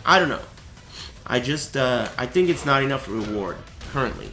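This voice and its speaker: Brief: bright, animated man, voice gone raspy, casual stream tutorial, echoey room with music. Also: mid-range voice, normal speed. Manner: chatty, explanatory, asks "right?" often. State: voice raspy and cracking. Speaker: streamer teaching a fighting game.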